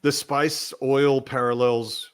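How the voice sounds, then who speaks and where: A man's voice: mid-range voice, little pitch movement, slow, smooth. Man talking through ideas in casual discussion. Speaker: book discussion participant.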